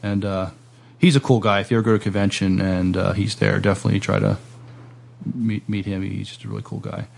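Male podcast host, lowish gravelly voice, casual and agreeable, feeling his way haltingly through sentences. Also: steady tone, a little mellow. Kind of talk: casual interview chat.

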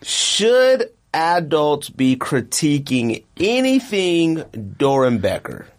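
Animated, slangy man podcasting. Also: a little high, slow. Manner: slangy, teasing. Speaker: sneaker podcast co-host. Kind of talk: casual podcast banter.

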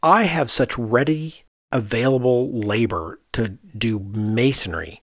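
A man's voice: mid-range voice, slow, a bit bright, no echo, studio quality. A man who works as an architect, chatting casually as a podcast host in an emphatic way with rhetorical questions. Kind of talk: casual conversational discussion.

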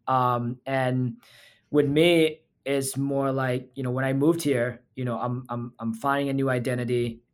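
A man's voice: mid-range voice, flat delivery, a little slow, clean tone. A man from Thailand, a physical therapist talking as a podcast guest in an earnest, reflective way. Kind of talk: casual interview talk.

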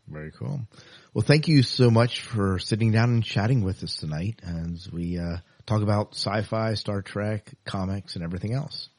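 Low-pitched man, casual podcast host. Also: normal speed, darkish tone. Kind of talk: casual interview chat.

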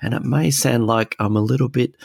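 A man's voice: medium pitch, steady tone, a little fast, creaky, darkish tone, husky, no echo, studio quality. A man co-hosting a podcast, sounding congested from a cold, chatting casually with lots of ums and restarts. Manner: rambling, many ums and restarts. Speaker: podcast co-host. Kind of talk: casual chat. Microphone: podcast microphone.

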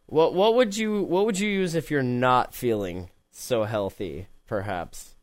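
A man hosting a casual tech podcast, joking around and laughing often between quick remarks. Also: medium pitch. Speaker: podcast host.

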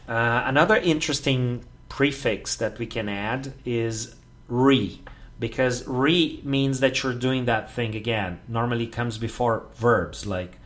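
Slow-talking man teaching English words. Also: mid-range voice, fairly bright tone. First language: Portuguese. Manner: unhurried explaining, stretches example words, many fillers. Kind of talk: solo podcast lesson.

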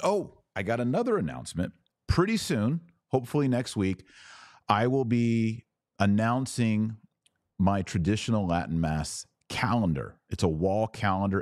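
A man's voice: deep voice, a little mellow, no room sound, studio quality. An American man hosting a podcast, giving casual religious commentary and answering listeners in an emphatic, teacherly way. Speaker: podcast host.

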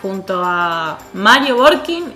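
A woman's voice: mid-range voice, very animated, takes it slowly, bright, forceful tone, faint music behind. A woman with an Argentine accent chatting casually as a podcast co-host. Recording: roomy echo.

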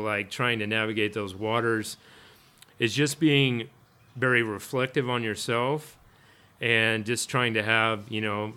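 A man's voice: on the low side, bright, forceful tone, clear, resonant tone. A man in a casual interview, a firefighter speaking reflectively, rambling with fillers and restarts. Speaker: firefighter guest.

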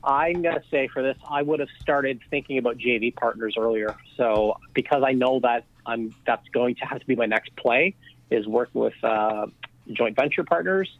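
A man's voice: mid-range voice, normal speed, no echo. A man guest on a podcast interview, talking casually over the phone with lots of fillers and restarts. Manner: rambling, many fillers and restarts. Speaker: podcast guest, real estate investor. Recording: tinny phone line.

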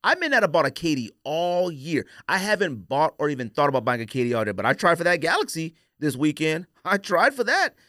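An American man in his forties talks like a podcast host deep in a casual debate. His pitch moves in lively swings and he drives points home by repeating them. The recording is close and dry. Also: a little fast, few pauses, dry recording. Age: 40s. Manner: emphatic, repeats phrases for stress. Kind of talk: casual opinionated sneaker debate.

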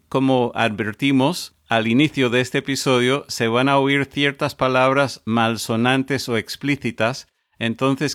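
A man hosting a podcast panel, laying out his points in a careful, explanatory way. His voice sits at an ordinary middle pitch. The sound is close and dry, with almost no room around it. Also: fairly bright tone, no room sound. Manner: measured, explanatory. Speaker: podcast host.

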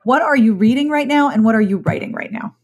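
A woman's voice: a little high, expressive, fast, darkish tone. A woman hosting a podcast interview, chatty and asking open questions. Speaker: podcast host. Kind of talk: casual interview chat.

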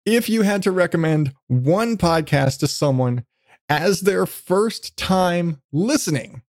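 Male podcast host, slightly high voice, dry close sound. Rambling, self-deprecating, sweary, conversational. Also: animated, slow pace, a little mellow, dry recording. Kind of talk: casual chat interview.

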